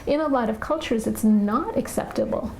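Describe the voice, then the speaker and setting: A woman giving an explanatory interview in a measured way with hesitant pauses. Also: fairly high pitch, creaky, mellow, a little hiss or hum. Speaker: international house director, interviewee.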